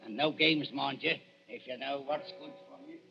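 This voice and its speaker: An actor playing a coarse, wary, threatening body snatcher in an old-time radio drama. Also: male voice, medium pitch, steady tone, moderate pace, gravelly, close to an everyday voice. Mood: gruff, threatening. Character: rough, wary, gruff male body snatcher thug. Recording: muffled vintage radio recording.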